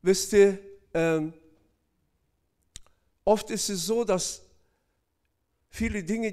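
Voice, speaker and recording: Slow, hesitant male preacher. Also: a little high. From Northern Kazakhstan. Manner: hesitant, many fillers, addresses congregation directly. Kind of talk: sermon.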